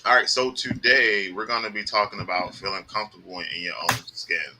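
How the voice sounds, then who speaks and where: A man's voice: lowish voice, fairly quick, pushed and bright, a little hiss or hum, low-quality recording. A man hosting a podcast in casual chat, loose and joking, talking over his guests. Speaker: podcast host.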